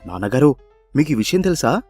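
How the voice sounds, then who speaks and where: A man's voice: mid-range voice, sing-song, fairly quick, a little mellow, natural voice. Guy doing an earnest young son in a village cartoon, polite and persuasive, music underneath. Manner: respectful, persuasive. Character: earnest young son coaxing his miserly father. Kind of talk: dramatised moral story for cartoon.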